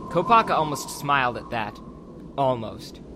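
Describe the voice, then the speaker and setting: A man's voice: mid-range voice, very animated, moderate pace, noisy background. A man reading scripted audiobook narration as the narrator of a dramatized story. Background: background music.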